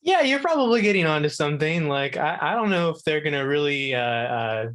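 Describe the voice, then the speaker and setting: A man's voice: a little high, sing-song, a little fast, a bit bright. A man chatting casually as a guest on a gaming talk segment, hedging and thinking aloud as he answers. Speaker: video game contributor and guest. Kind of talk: casual chat.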